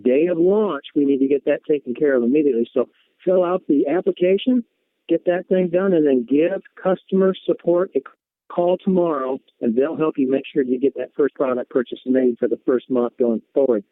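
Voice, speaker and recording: A man comes through a narrow, phone-like conference line with a dry sound. His voice sits a bit high, and he gives an informal sales pitch in a folksy, encouraging, repetitive manner, as a presenter addressing callers. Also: moderate pace, dry recording. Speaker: presenter on a business opportunity conference call.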